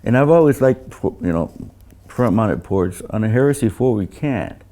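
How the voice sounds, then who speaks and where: An older man speaks in a low, gravelly voice with a mellow tone. He talks like a seasoned engineer in casual conversation, halting and doubling back on words as he tells his anecdotes. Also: expressive. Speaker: loudspeaker designer.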